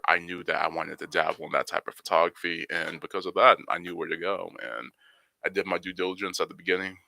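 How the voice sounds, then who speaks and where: A young man, a photographer guest on a podcast, explaining his craft in a relaxed way with lots of "you know" fillers. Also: sits low, creaky, dry recording. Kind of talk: podcast interview answers.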